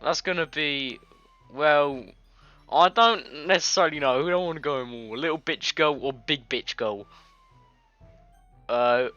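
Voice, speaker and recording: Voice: mid-range voice, measured pace, long pauses, bright, forceful tone. A gamer doing an informal let's play, reading visual novel text aloud and stumbling over it now and then. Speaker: gaming video creator. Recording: dull lo-fi mic, music behind.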